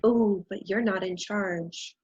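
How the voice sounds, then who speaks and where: A woman's voice: medium pitch, takes it slowly, a little mellow, natural voice. A woman playing a haughty, scornful, condescending character in a staged play reading. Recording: dull lo-fi recording.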